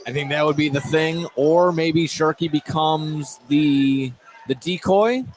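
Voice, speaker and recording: A man doing excited, wordy live play-by-play of a high school basketball game with dramatic phrasing. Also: slow. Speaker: play-by-play commentator. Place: indoor school field house. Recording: noisy live gym broadcast. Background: loud crowd and gym noise.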